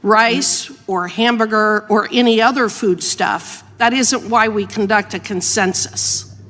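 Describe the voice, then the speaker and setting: A woman's voice: medium pitch, fairly even intonation, a little slow, fairly bright tone. An American woman speaking formally as a politician questioning witnesses at a hearing. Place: large indoor hearing room. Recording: reverberant hearing room.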